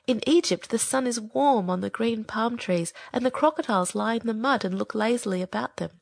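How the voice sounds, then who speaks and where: A woman's voice: on the high side, fairly quick, creaky, no echo. A woman playing a small, good-hearted male swallow in a storybook, speaking earnestly and expressively. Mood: wistful, descriptive. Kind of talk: acted storybook dialogue.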